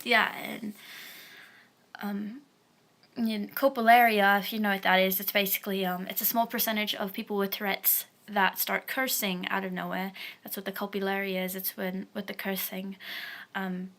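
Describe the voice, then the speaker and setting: Young woman, casual vlog, stutters and repeats words, lots of fillers, blunt swearing, bright tone. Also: mid-range voice, level tone, moderate pace, gravelly. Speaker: vlogger.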